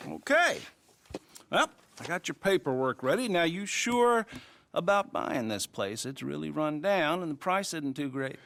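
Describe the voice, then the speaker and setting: An actor playing a smug small-town banker in an Old West video game, with an American accent and a wry, teasing, patronizing manner. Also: male voice, a little high, big pitch swings, unhurried, vocal fry, fairly bright tone, exaggerated voice. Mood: wry, welcoming. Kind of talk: video game western acting.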